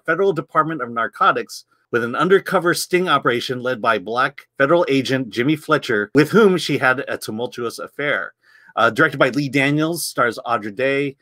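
Bright, dry-sounding male host. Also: mid-range voice, dry recording. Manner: conversational, repeats words while thinking. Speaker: host, film site editor. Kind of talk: casual film review chat. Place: home room. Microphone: video call.

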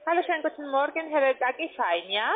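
A woman working as a call-centre agent, giving a sales pitch on the phone. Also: lively intonation, a little fast. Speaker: call-centre caller pitching trading accounts. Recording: tinny narrowband phone line.

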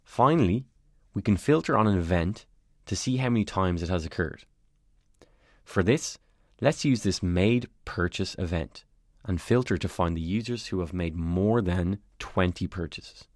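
A man calmly narrating a step-by-step software tutorial as a voice-over on a screen recording. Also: low voice, moderate pace, dry recording, studio quality. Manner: calm, step-by-step explanatory.

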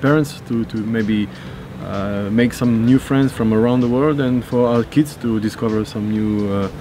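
A man's voice: medium pitch, moderate pace, dark tone. Non-native English-speaking man, noisy outdoor vlog. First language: not English. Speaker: vlogger and handball player. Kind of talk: casual vlog chat. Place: outdoor rooftop terrace. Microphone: on-camera shotgun mic at short distance. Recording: outdoor urban noise, birds, traffic.

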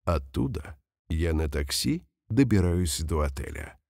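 A man reading example sentences slowly and carefully for language learners, saying each one twice. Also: low-pitched, sing-song, a little mellow, no echo, studio quality. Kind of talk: slow repeated phrase reading for learners.

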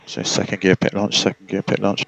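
Male race engineer: low, flat, gravelly, calm and methodical over radio. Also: a little fast, a little hiss or hum, rough recording. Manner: calm, steady, repeats key numbers. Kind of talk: team radio instructions.

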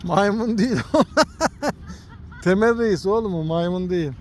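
A father filming his small son in an outdoor park, gently coaxing him and pointing things out. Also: high-pitched, unhurried, slight background noise. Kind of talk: casual family vlog. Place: outdoor park with cartoon statues.

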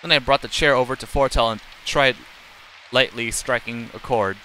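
A man's voice: mid-range voice, steady tone, normal speed, bright, forceful tone, slight background noise, low-quality recording. A middle-aged man live streaming, reading game text aloud in a plain, unacted way with casual asides and occasional stumbles.

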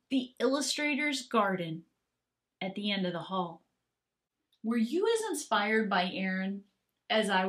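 A woman art teacher reading aloud and talking to young children in an expressive, gentle, encouraging manner. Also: on the high side. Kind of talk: expressive children's storybook read-aloud and lesson.

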